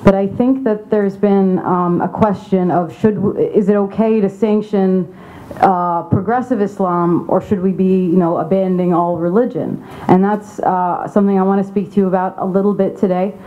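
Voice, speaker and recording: A woman's voice: mid-range voice, little pitch movement, normal speed, mellow. A young adult woman from Saudi Arabia giving a candid, informal conference speech as an advocacy representative. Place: conference venue. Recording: tinny phone-like sound.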